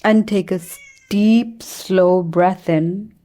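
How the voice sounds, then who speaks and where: Female meditation teacher. Soft-edged warm tone, very slow pacing, gentle and soothing, leaves long pauses. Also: mid-range voice, fairly even intonation. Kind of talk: guided meditation.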